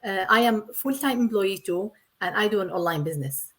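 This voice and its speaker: Earnest Syrian-born woman, halting English. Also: medium pitch, expressive, a bit bright. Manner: earnest, repeats herself, simple non-native English. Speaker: guest. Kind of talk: casual interview.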